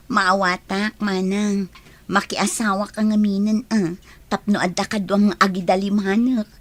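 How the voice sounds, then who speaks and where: A woman's voice: medium pitch, level tone, a little slow, close to an everyday voice. A woman acting as a younger sister in a radio drama, speaking in a casual, familiar way. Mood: teasing. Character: bored, teasing younger sister at home.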